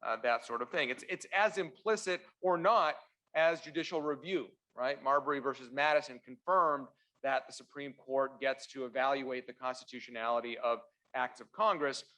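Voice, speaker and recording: A man born in Russia and raised in Canada, a constitutional lawyer giving opening remarks at a university debate, halting often on "uh" and restarts, with dry wry asides. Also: medium pitch, bright, forceful tone, low-quality recording. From born in Russia, raised in central Ontario, Canada.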